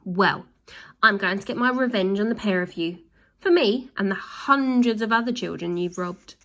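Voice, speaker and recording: A woman's voice: medium pitch, moderate pace, fairly bright tone, close to an everyday voice. A woman voicing a defiant, determined young boy hero.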